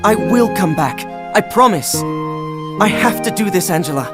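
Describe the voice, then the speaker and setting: An actor playing an eager, headstrong teenage boy adventurer in an animated game cutscene, earnest, excitable and persuasive. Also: sits high, normal speed. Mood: pleading. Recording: music behind the voice.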